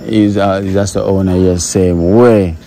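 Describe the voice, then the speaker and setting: A man's voice: sits low, measured pace, slight background noise. A man giving a casual walkthrough of a guest villa, talking straight to his viewers. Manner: relaxed, repeats himself, speaks to viewers as guys. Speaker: vlogger touring a guest villa.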